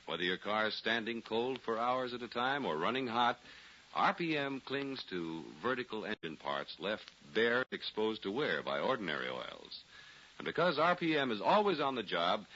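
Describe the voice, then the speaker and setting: A man's voice: lowish voice, sharp tone, a little hiss or hum. A man announcing on a 1940s radio show, with polished, scripted, salesmanlike delivery. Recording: muffled 1940s radio broadcast recording.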